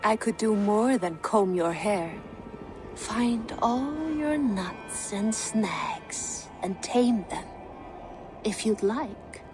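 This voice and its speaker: An actress playing a teasing, self-assured Viking-era woman in a video game, coy and soft, lingering on words. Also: mid-range voice, very slow, music in the background. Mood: flirtatious.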